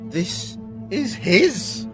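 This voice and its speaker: An actor playing a smug, menacing old villain who drawls and taunts in an audio drama, with a gravelly voice. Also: male voice, high-pitched, big pitch swings, extremely slow, heavily acted. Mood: taunting. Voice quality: gravelly, creaky. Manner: drawling, sardonic, commanding. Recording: dramatic music bed behind voice.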